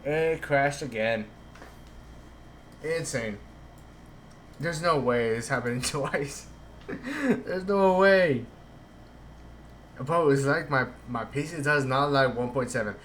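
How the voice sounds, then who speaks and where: A man's voice: medium pitch, lively intonation, slow pace, long pauses, a bit bright, slight background noise. Casual male game streamer, faint ambience. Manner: casual, stumbling over read lines. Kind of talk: live game stream, reading dialogue aloud and commenting.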